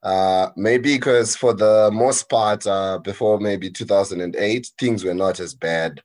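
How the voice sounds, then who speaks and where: A man's voice: lowish voice, a little slow, a little mellow. A man in his thirties with a Zimbabwean accent, chatting casually as an interview guest about himself. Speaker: podcast guest, actuary. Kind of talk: casual interview chat, recounting background.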